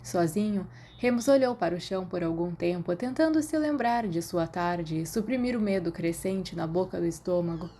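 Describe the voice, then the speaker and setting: Mellow Brazilian woman narrating fiction. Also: lowish voice, expressive, moderate pace, hardly any pauses. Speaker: podfic narrator.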